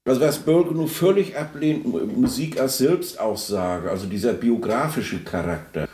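A man giving spontaneous, searching commentary on a composer, halting and often checking in with a questioning tag. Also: medium pitch, dark tone. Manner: halting, searching, tags remarks with a questioning particle. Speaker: commentator on a composer's life and work. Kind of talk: spontaneous musing commentary in a radio play.

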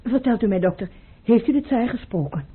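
An actress playing a proud, guarded baroness at court in a radio play, formal and intense, with a breathy edge. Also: female voice, fairly high pitch, lively intonation, a little fast. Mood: urgent. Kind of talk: theatrical radio play acting. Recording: muffled, narrowband old radio play recording.

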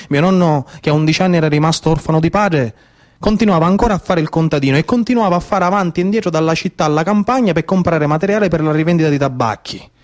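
A man's voice: a little high, a little mellow. A man in his thirties with a Sicilian accent delivers a theatrical narrative monologue in dialect-tinged speech, with a declamatory, repetitive folk-storyteller's cadence. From Sicily, Italy. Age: 30s. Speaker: actor-storyteller. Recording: live music behind the voice. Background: live instrumental music.

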